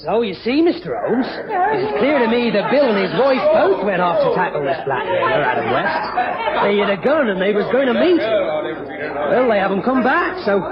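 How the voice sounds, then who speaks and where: A man's voice: high voice, sing-song, normal speed. An actor playing a servile, nosy male lodger in a radio drama, ingratiating and rambling, with folksy ungrammatical speech. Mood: insinuating. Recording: muffled radio recording, loud pub chatter behind.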